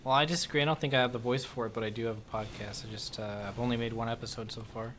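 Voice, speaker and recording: A man's voice: mid-range voice, rapid-fire, background noise. Laid-back young man streaming a game. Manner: relaxed, answers chat questions between plays. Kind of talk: casual live-stream chat while gaming.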